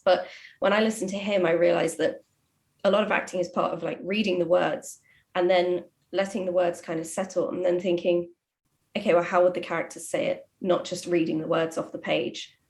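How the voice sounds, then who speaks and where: A woman talking reflectively in a casual panel chat, thoughtful, with measured phrases and pauses. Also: fairly low pitch, creaky. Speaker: writer on a panel.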